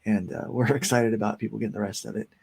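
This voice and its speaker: A man's voice: on the low side, steady tone, fast, gravelly, a little mellow, slightly rough. A man in his forties, a comic book writer chatting casually as an interview guest, rambling with lots of fillers. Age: 40s.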